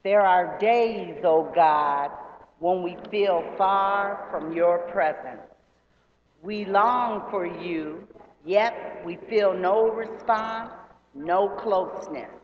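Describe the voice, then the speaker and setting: A woman leading a unison prayer in church, solemn and measured, phrase by phrase. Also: fairly low pitch. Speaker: lay prayer leader at a church service. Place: large church sanctuary, indoors. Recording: distant, dull sound in echoey church hall.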